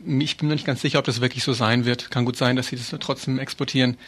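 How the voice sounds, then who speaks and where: A man's voice: medium pitch, steady tone, fast talker, fairly bright tone. A man being interviewed as an activist, explaining things earnestly and informally with hesitant phrasing.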